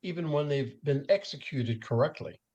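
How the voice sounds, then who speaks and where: A man's voice: a little high, animated, moderate pace, warm tone. A man hosting an interview podcast, asking open questions and affirming his guest's points. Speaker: host, professional speaker. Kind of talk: conversational interview.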